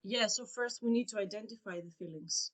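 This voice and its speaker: Soft-spoken Greek-native woman guest. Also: medium pitch, fairly quick. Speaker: English teacher, guest. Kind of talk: casual interview answers.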